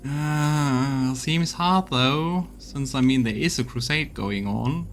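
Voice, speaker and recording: A man's voice: mid-range voice, slow, soft background music. A man giving live commentary on a game as he plays and reads along as a streamer. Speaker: let's play streamer. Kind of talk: live game commentary, reading dialogue aloud.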